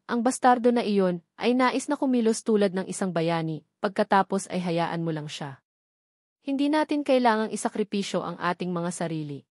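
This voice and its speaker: Female narrator for serialized fiction: medium pitch, scripted delivery, dry, echo-free sound. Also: darkish tone. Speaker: audiobook narrator.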